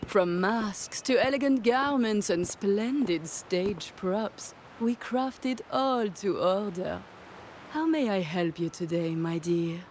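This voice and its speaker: A woman's voice: medium pitch, lively intonation, takes it slowly, darkish tone, slight background noise. An actress voicing a theatrical, teasing noblewoman who runs a mask and costume shop, speaking in a playful, flirtatious way. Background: faint game music.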